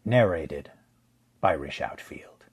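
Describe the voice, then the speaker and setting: A man reading first-person fiction as a narrator, in a measured, grave storytelling manner. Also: very deep voice, expressive, breathy edge. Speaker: audiobook narrator.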